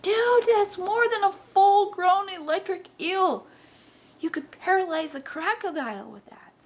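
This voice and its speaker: A woman voicing a bossy, excitable, nerdy boy scientist in a story reading. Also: fairly high pitch, slow. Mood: amazed, excited.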